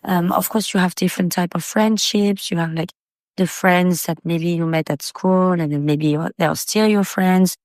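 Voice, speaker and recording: A woman's voice: lowish voice, steady tone, moderate pace, darkish tone, no echo. A woman from Paris, France, chatting casually as a guest on a podcast. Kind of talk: casual chat.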